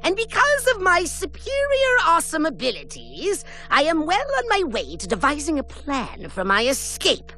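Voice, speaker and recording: An actor playing a small, boastful, petulant evil sorcerer in a video game, with theatrical, gloating delivery. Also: male voice, very high-pitched, huge pitch swings, moderate pace, hardly any pauses, bright, forceful tone, soft background music, full character voice. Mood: boastful scheming. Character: small bald megalomaniac male villain sorcerer, boastful and petulant. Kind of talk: animated video game villain acting.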